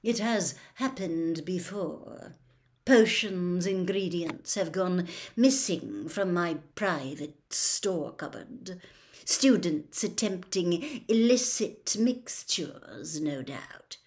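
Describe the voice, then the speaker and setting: Storybook villainous professor, male, woman reading: icy, soft, clenched, hissing, unhurried. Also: fairly low pitch, expressive. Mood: cold, dismissive. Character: cold, hissing, irritable male professor, tightly controlled. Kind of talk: audiobook character voice.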